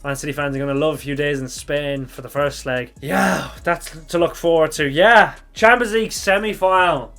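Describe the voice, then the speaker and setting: A young man with a slightly croaky voice from shouting, chatting casually through a football reaction vlog, thinking aloud and correcting himself as he goes. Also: fairly high pitch, normal speed, hardly any pauses, soft background music. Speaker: football fan channel host.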